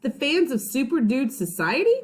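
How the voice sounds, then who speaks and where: A woman voicing a loyal, excitable schoolboy best friend in a children's storybook, speaking emphatically and exclaiming. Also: high voice, normal speed, pitched above the performer's own voice. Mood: rallying.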